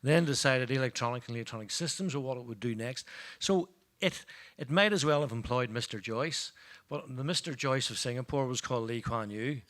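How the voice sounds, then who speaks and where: Man from Belfast with a softened accent. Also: mid-range voice, a little fast. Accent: faint Northern Irish, softened. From Northern Ireland. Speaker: panel speaker. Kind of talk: panel discussion.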